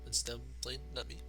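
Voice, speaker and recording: A young man with a soft, quiet low voice, casually commentating a live game tournament from a home streaming setup, chuckling between remarks. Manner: laid-back, chuckles often between remarks. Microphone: desk mic turned to max. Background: game music playing.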